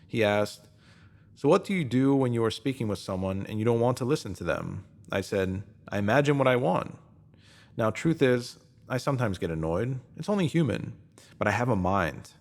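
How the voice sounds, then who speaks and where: A man's voice: mid-range voice, lively intonation, moderate pace. A man reading a self-help post as scripted narration, in an earnest, emphatic manner.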